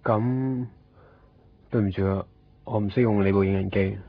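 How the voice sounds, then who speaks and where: Fussy timid hong kong customer, low husky voice, halting, muffled old radio drama. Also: male voice, steady tone. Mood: hesitant, unsatisfied. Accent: Hong Kong Cantonese. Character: hesitant, fussy male customer, quietly dissatisfied. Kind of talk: Cantonese radio drama acting.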